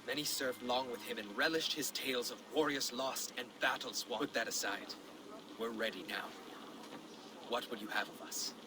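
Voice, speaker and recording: An actor playing a hardy, grim Viking war leader with a terse, poetic manner in a video game. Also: male voice, medium pitch, normal speed, lightly acted. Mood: solemn resolve. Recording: clear ambient noise.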